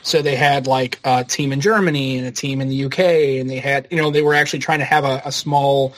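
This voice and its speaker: A man's voice: mid-range voice, fairly even intonation. A man co-hosting a podcast, chatting in an easygoing way with plenty of fillers. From United States. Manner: quick, easygoing, filler-heavy. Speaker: podcast co-host. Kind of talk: casual podcast chat.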